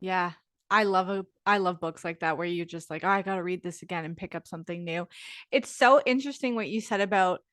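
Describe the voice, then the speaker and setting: A woman's voice: on the low side, fairly quick, fairly bright tone, no room sound, studio quality. A woman hosting a podcast, musing in a reflective, enthusiastic way. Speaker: podcast host. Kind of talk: casual conversational interview.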